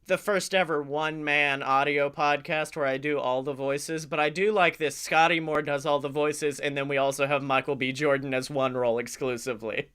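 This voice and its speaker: A man co-hosting a comedy podcast, chatting casually and brainstorming in an excitable, sweary way. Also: fairly high pitch, moderate pace, few pauses, clear, resonant tone. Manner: excitable, profane.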